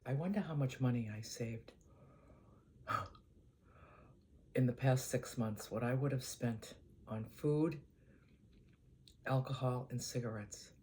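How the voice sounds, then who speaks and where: An older woman with a Boston accent, chatting casually to her channel in an easygoing, rambling way, often letting her voice trail off quietly. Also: extremely low pitch, slight background noise. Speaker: vlogger. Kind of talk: casual chat to camera.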